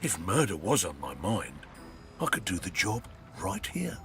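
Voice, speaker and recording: A man's voice: sits low, huge pitch swings, unhurried, vocal fry, a bit bright, full character voice. A man playing a sly, greedy undead ferryman in a video game, with a theatrical, mock-courteous manner. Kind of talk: video game character acting. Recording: music behind the voice.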